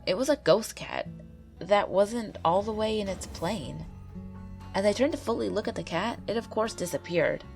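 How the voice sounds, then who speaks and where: A woman with a stuffy nose from a cold, gently and earnestly reading true ghost stories aloud as the narrator of her channel. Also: mid-range voice, normal speed, creaky. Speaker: YouTube ghost story narrator. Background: faint background music.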